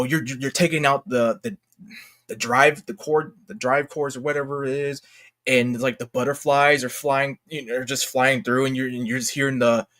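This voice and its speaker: A man giving unscripted commentary as a YouTuber, talking in a halting, slangy, exclamatory way and often interrupting himself. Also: mid-range voice, fairly bright tone. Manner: rambling, halting, self-interrupting. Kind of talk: unscripted casual commentary rant.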